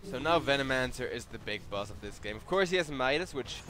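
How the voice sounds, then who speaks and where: A man's voice: medium pitch, animated, brisk, pushed and bright, a little hiss or hum. A tired young man from Germany, casting a live game on his own and chatting to viewers between plays. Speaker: esports caster. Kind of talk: solo live game commentary.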